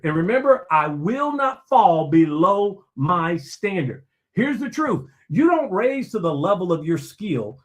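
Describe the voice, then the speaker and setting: A man delivering a motivational talk in a high, lively voice that swings up and down as he presses his points. He repeats key phrases for emphasis and answers his own questions, like a preacher. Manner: asks himself questions and answers them. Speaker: motivational speaker.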